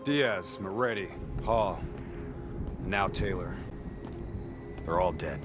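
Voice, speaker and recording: A man's voice: low voice, slow pace, music in the background. A man acting a bitter, grim rogue soldier in a video game cutscene. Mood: grim bitterness.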